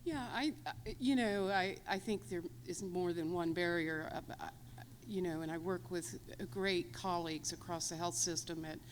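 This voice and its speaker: A woman panelist answering a question in a halting way with many restarts. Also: on the low side, a little hiss or hum. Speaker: hospital panelist.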